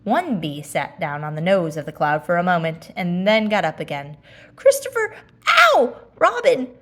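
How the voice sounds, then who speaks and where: A woman narrating a children's story aloud, expressive and deliberate in her phrasing. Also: deep voice.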